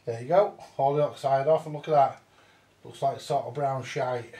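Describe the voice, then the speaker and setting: A man's voice: mid-range voice, moderate pace, mellow. A British man giving a casual, hands-on repair commentary, muttering to himself and swearing now and then as he works. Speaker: vintage audio repairer. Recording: roomy echo, distant mic.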